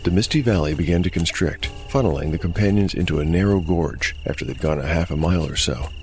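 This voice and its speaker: A man narrating a scripted dark fantasy tale as game master, in a measured storytelling manner. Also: low-pitched. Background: music.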